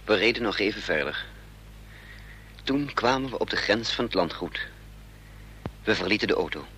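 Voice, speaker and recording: A man's voice: lowish voice, lively intonation, normal speed, sharp tone, slight background noise. An actor playing a resolute, bitter man on the run in a radio drama, with intense, clipped, dramatic delivery. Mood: grave narration. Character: hunted male amnesiac double, resolute, driven, bitter. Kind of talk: radio drama acting with first-person narration.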